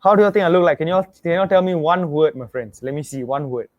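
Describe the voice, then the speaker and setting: Young man, Singaporean English accent, fairly high voice, energetic webinar host, dry close recording. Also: fast talker, no echo, dull audio. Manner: energetic, repeats himself, asks the audience to type in replies. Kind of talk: motivational webinar pitch.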